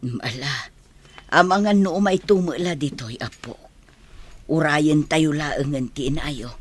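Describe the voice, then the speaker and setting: Gentle, worried old grandmother. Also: deep voice, measured pace. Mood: worried, soothing. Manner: soothing, admonishing. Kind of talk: radio drama acting.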